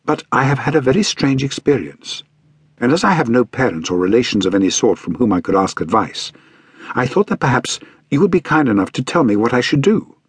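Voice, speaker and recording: Man voicing brisk, earnest young British governess. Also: mid-range voice, very animated, husky, no echo. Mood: polite, anxious. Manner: earnest, precise, courteous. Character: brisk, polite, self-reliant young governess woman. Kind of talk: audiobook character acting.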